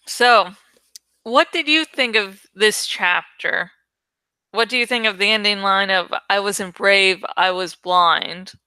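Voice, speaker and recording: Bright, clear-voiced woman chatting playfully to viewers. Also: mid-range voice, slow, no echo, rough recording. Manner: playful, joking, swears casually, self-mocking. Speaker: host of a dramatic book-reading channel. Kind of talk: casual chatty outro addressed to viewers.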